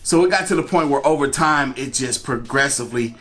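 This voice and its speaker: A man's voice: mid-range voice, a little fast, a bit bright. A man telling a personal story in a casual, conversational way, repeating phrases for emphasis. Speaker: storytime vlogger. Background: music.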